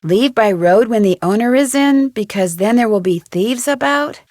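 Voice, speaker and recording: A woman's voice: a little high, lively intonation, moderate pace, darkish tone, dry recording, studio quality, lightly acted. An actress playing a polite, persistent, level-headed young woman detective in a video game, inquisitive and composed. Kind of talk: scripted video game dialogue acting.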